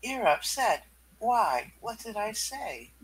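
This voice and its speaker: A performer voicing a bold, flirty female costumed thief with a playful, breathy drawl in a comic book puppet reading. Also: medium pitch, big pitch swings, slow pace, fairly bright tone, rough recording. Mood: concerned.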